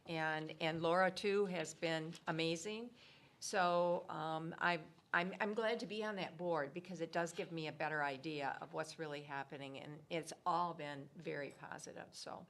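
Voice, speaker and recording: A woman council member giving a committee report at a meeting, speaking loudly, as she says herself. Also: sits low, steady tone, normal speed.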